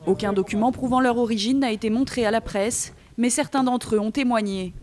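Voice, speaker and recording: Brief: woman, somewhat high voice, crisp clipped newsreader delivery. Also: fairly quick, a little mellow, a little hiss or hum. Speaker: news reporter. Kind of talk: news report voice-over.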